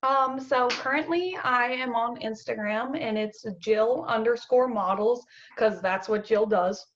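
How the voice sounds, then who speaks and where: A woman's voice: a little high, a little slow, highs cut off, slight background noise. A young woman with a slight Southern US accent, chatting as a podcast guest, chatty and self-deprecating with many fillers. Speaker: guest model. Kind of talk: casual interview chat.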